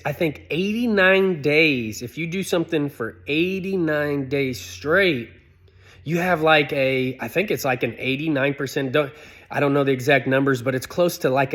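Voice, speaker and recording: A man's voice: on the high side, fairly bright tone. Man, 30s, light voice, loose conversational motivational speaker. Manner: conversational, filler-heavy, rhetorical questions. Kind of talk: casual motivational talk.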